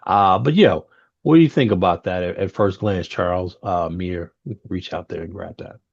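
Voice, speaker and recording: Deep-voiced man moderating a panel. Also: normal speed, vocal fry, breathy edge, no echo, highs cut off. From United States. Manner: relaxed, chatty, with many fillers and restarts. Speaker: roundtable moderator. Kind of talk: panel discussion.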